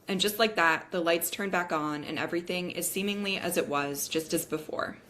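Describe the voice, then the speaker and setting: A woman narrates a screenplay's stage directions at a table read. Her pitch is on the low side, and faint noise sits behind her. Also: normal speed, a bit bright.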